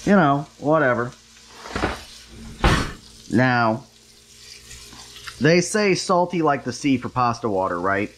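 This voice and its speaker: A man's voice: mid-range voice, lively intonation, slow pace, long pauses, a bit bright, slight background noise. A man hosting a home cooking show, chatting casually and making wry, self-deprecating asides as he cooks. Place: home kitchen, stovetop.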